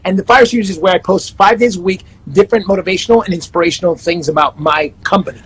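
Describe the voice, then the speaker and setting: A man's voice: high-pitched, fairly even intonation, fast, fairly bright tone, breathy edge, poor audio. A man in his thirties, a life coach, chatting upbeat in an interview over a video call. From Panama and the Netherlands. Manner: upbeat, self-promoting, many restarts. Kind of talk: casual interview chat.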